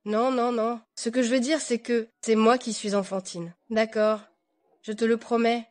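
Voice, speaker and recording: An actress playing a polite young wife in a dubbed melodrama, mildly exasperated and eager to placate. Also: female voice, on the high side, level tone, normal speed, no room sound, close to an everyday voice. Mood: exasperated, appeasing.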